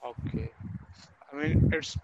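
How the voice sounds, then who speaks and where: A man's voice: takes it slowly, highs cut off, a little hiss or hum. A man from Pakistan talking hesitantly in a practice conversation, often repeating himself. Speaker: student.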